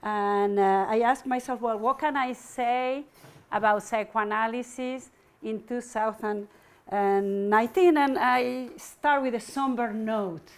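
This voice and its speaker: Halting woman lecturer from Argentina. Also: a little high, clear, resonant tone. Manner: thoughtful, halting with frequent fillers. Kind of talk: academic lecture. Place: university lecture room.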